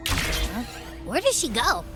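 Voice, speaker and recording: A tiny, cute creature from a video game, curious and eager. The voice is squeaky and very high, swooping freely between hesitant hums and little exclamations. Music plays clearly behind it. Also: female voice, wildly swooping pitch, takes it slowly, full character voice. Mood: curious, unsure. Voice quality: squeaky, cutesy. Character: small childlike female companion creature, curious and eager.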